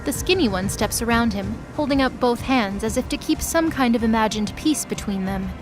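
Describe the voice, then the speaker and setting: A woman's voice: a little high, lively intonation, normal speed. A woman reading scripted dramatic narration for a mythology audio drama. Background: music behind the voice.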